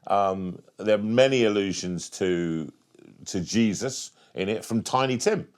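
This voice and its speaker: A man interviewing in a conversational style, earnest and halting, repeating words for emphasis. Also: lowish voice. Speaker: interviewer, host.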